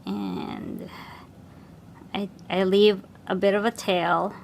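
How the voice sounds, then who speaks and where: Unhurried Filipino woman, chatty stitch-along. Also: medium pitch, big pitch swings, gravelly, noisy background. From Philippines. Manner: chatty, thinking aloud while stitching, mutters to herself. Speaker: cross-stitch vlogger. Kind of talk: casual stitch-along chat.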